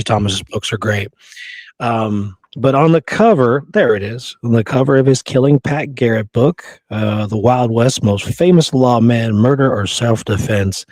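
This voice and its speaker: A man's voice: medium pitch, a little mellow, dry recording. A man co-hosting a casual history chat, speaking in a colloquial, opinionated way. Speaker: co-host of a history show. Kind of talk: casual chat about Old West history.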